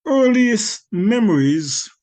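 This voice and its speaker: A man's voice: sits high, very animated, takes it slowly, a little mellow. Older Barbados-born man, rambling answers. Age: 60s. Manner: rambling, many restarts and fillers, chuckles. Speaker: author.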